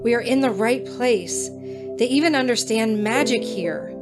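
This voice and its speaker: An eager young female cadet, acted by a woman in an audio drama. Her voice sits high and she speaks with sincere, upbeat enthusiasm. Music plays clearly behind her. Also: measured pace, lightly acted. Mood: earnest, hopeful.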